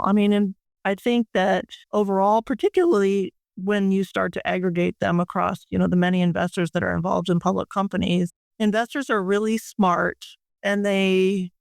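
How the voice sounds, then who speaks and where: An older woman with a very clear, smooth voice speaks as an expert guest in an interview. Her pitch stays fairly even as she explains things in measured, plain-spoken terms. The sound is dry and close. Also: dry recording. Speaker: industry expert guest and board member. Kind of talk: conversational interview answers.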